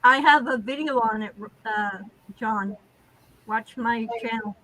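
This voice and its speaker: Outspoken American woman, high sharp voice, emphatic in casual group chat. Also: slow pace, poor audio. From Massachusetts, United States.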